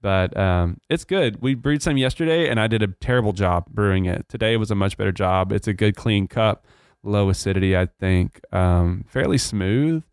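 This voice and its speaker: A man's voice: lowish voice, moderate pace, clean tone, no echo, studio quality. A man with a Texan drawl, hosting a church podcast in casual, earnest chat with many fillers.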